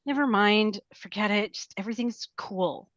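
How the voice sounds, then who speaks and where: A woman's voice: medium pitch, moderate pace, a bit bright, dry recording, natural voice. Sullen teenage girl, played by a woman, dull recording. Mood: defensive. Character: sullen, defiant, provocative teenage outcast girl. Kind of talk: table read of a film script.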